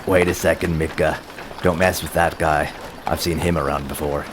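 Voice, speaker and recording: A man's voice: very deep voice, fairly even intonation, moderate pace. An actor playing a bully's wary male sidekick in an audio drama. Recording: clearly noisy outdoor scene.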